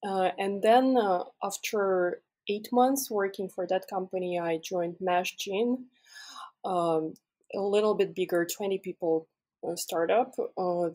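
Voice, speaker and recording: A young woman from Russia speaks as a podcast guest. Her voice is husky and sits fairly low. She explains her work step by step in a friendly, casual way, stopping often for an "uh" or an "um". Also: a little mellow. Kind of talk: casual interview answers.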